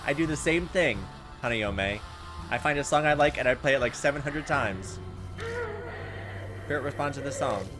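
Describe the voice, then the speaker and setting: A man hosts a casual gaming video with clear music playing behind him. His pitch is very lively and moves around a lot. He chats to the game and reads its text out loud as he goes. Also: normal speed, long pauses. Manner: chatty, reacts aloud and talks back to the game. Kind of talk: casual Let's Play commentary mixed with reading game text aloud.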